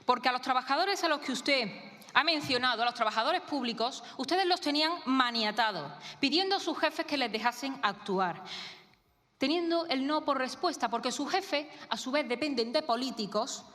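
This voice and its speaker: Young woman, Castilian Spanish accent, high voice, emphatic political oratory, accusatory, reverberant hall. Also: lively intonation, normal speed, pushed and bright. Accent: Castilian Spanish from Spain. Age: young adult. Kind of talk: formal parliamentary speech. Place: large parliamentary chamber. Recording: echoey hall, reverberant chamber sound.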